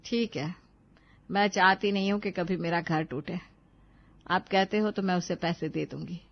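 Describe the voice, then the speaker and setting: A woman's voice: lowish voice, fairly even intonation, normal speed, highs cut off, natural voice. A woman playing a caring, thrifty middle-aged mother in a cartoon moral story, reasoning and scolding in a homely way. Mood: subdued, patient.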